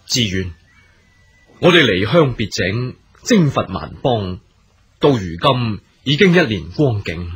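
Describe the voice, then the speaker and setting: Husky, grave army commander. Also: male voice, on the low side, sing-song, a bit bright, heavily acted. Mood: grave, concerned. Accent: Hong Kong Cantonese. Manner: measured, formal, weighty. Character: grave, deliberate army commander in ancient China. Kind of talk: theatrical radio drama acting.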